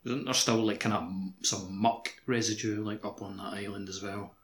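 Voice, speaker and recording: A man with a fairly low, bright and forceful voice talks as a podcast co-host. His delivery is casual and rambling, circling back on itself with plenty of filler. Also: flat delivery, normal speed. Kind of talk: casual chat about a video game.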